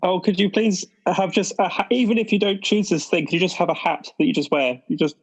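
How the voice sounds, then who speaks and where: A man's voice: high-pitched, fairly even intonation, brisk, gravelly, husky. A man riffing casually with friends as a roleplaying player, enthusiastic and playful.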